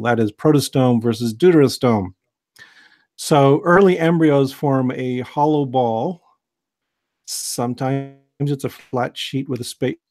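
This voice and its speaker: An American man giving a relaxed, digressive science lecture on a video call, with many fillers and restarts. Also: mid-range voice. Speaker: host, biology lecturer.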